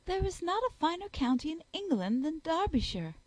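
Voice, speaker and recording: A woman reading aloud, voicing a snobbish, flattering young society lady in a gushing manner. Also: extremely high pitch, normal speed, dry recording, voice pushed up. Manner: gushing, fawning. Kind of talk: audiobook character voice.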